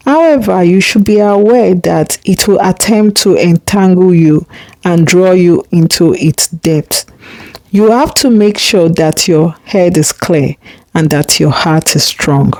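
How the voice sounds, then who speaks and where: A woman voicing a gentle but commanding river spirit mother in a storybook tale, solemn and measured. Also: lowish voice, dry recording, low-quality recording. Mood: grave warning. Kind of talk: storybook character reading.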